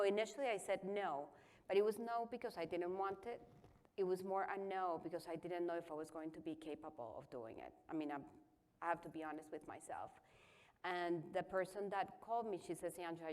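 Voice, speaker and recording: Woman in her fifties from Colombia, low voice, candid panelist sharing her story. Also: brisk, a little mellow. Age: 50s. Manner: candid, self-deprecating, chatty. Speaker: panelist, chamber of commerce president.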